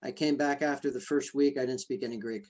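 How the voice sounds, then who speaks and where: A man answering interview questions in a halting, reflective way, with many fillers and restarts. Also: medium pitch, fairly even intonation, a little mellow. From United States. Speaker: guest, ultrarunner. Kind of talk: casual interview conversation.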